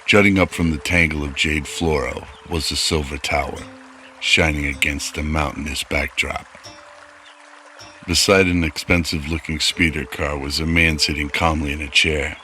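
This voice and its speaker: A man's voice: very deep voice, steady tone, moderate pace, sharp tone, soft background music. A man narrating a scripted audio drama as its storyteller.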